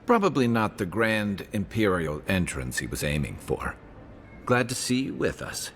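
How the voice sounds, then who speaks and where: An actor voicing a dry-witted, loyal companion and guide in a video game, wry and matter-of-fact. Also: male voice, on the low side, sing-song, normal speed, fairly bright tone, slight background noise. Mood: wry, relieved. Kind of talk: video game character dialogue.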